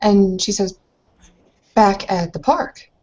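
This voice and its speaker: A woman's voice: mid-range voice, takes it slowly, mellow, muffled recording. A woman in a tabletop roleplaying game, casually speaking as her character and narrating its actions.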